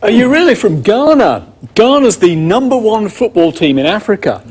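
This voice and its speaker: A man's voice: high-pitched, sing-song, moderate pace, darkish tone, husky, full character voice. A man playing a keen middle-aged English sports expert in scripted educational dialogue.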